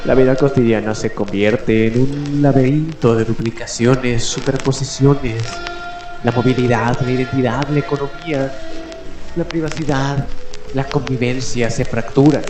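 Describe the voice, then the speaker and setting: Echoey man hosting late-night mystery radio. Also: mid-range voice. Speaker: radio show host. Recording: echoey voice over background music. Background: music.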